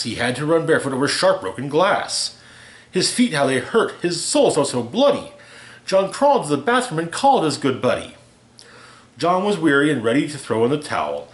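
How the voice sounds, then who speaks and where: A man reading a rhyming holiday parody aloud as a storyteller, with theatrical, deliberate delivery. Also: mid-range voice. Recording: roomy, echoey home recording.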